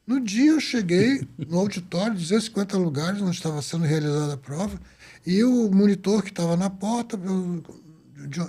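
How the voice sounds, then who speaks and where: A man in his seventies from the Azores, a doctor telling his story in an interview, lively and acting out the dialogue he recalls. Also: sits high, brisk. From Azores, Portugal. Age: 70s. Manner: lively, acts out quoted dialogue. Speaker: doctor guest.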